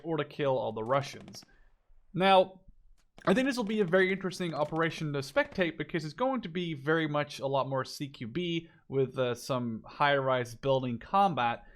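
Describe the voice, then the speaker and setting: A man's voice: fairly high pitch, fairly bright tone, a little hiss or hum. Casual man commentating on gameplay. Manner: informal, thinking aloud, mildly profane. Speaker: video game spectator commentator.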